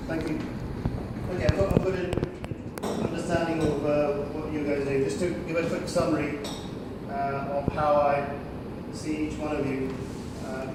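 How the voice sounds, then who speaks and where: Flat-toned man presenting in an echoey room. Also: on the high side. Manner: even, measured, lots of fillers. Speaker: business presenter. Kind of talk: sales presentation to a small group. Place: meeting room in a restaurant. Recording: echoey room, mic far off, noisy.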